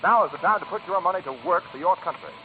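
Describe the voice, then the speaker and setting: A man's voice: sits high, quick pace, slight background noise. A man announcing on 1940s radio with an emphatic, polished promotional delivery. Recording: tinny 1940s radio recording.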